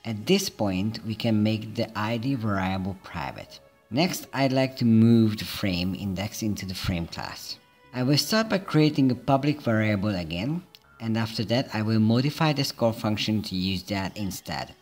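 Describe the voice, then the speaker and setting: A man calmly narrating a programming tutorial step by step in a scripted voice-over. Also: lowish voice, normal speed. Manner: calm, methodical, explaining each step. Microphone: voice-over over screen recording. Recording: soft background music.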